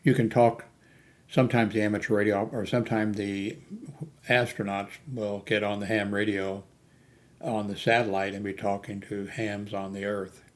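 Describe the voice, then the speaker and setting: A man's voice: on the low side, level tone, moderate pace. A man giving a casual, unscripted talk as an amateur radio hobbyist, rambling and hesitant, often losing his thread. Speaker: amateur radio hobbyist hosting a video channel.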